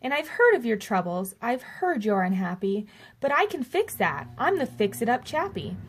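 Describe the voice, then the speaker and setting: A woman's voice: fairly high pitch, very animated, normal speed. A woman voicing a slick male salesman creature from a children's storybook, with persuasive sales patter. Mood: eager, reassuring. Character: Sylvester McMonkey McBean, smooth slick male fast-talking salesman creature.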